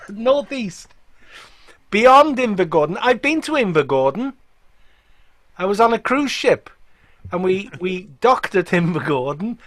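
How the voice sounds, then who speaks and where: High-voiced man bantering casually. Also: a bit bright, no echo. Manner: jokey, teasing. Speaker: folk club member. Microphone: video call.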